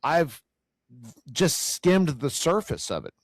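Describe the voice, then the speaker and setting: A man with a self-described growly, loud voice, speaking earnestly in a casual faith conversation and often repeating words as he searches for them. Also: fairly high pitch, wildly swooping pitch, slow, no echo, clean studio sound.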